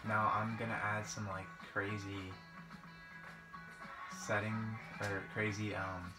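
A man's voice: lowish voice, level tone, takes it slowly, low-quality recording. A young man doing casual gameplay commentary in a hesitant, rambling, informal way. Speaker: gaming video creator. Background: guitar music.

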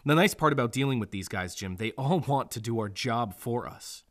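A man playing a cynical, driven lawman with dark humor in a noir radio drama, wry in delivery. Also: medium pitch, animated, normal speed, natural voice. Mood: sardonic.

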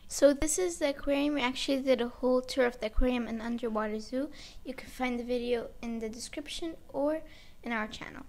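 A woman's voice: high-pitched, brisk, creaky, a little mellow. A female vlogger narrating a video as its co-host. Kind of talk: vlog narration. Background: faint music.

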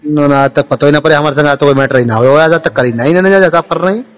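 A man with a rural western Uttar Pradesh accent, his voice a little high, speaks in a placating and repetitive way. It is an informal recorded phone call with music playing behind. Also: fast. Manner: placating, repetitive, murmured agreement. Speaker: village head on a phone call.

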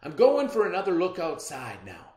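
A man voicing a laid-back farmhand storyteller in a storybook, folksy and unhurried. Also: fairly high pitch, wildly swooping pitch, darkish tone, voice pushed up. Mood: easygoing. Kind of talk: storybook character reading. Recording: roomy echo.

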